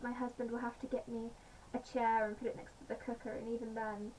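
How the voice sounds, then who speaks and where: A woman with a slightly croaky voice, chatting casually in a home vlog with many pauses and fillers. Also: on the high side, level tone, darkish tone. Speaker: vlogger. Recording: distant mic, faint background noise.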